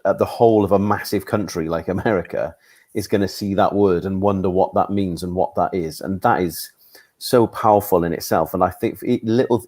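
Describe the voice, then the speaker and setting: A man hosting a post-match discussion, talking keenly but haltingly with many restarts. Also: sits low, darkish tone. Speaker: fan trust host.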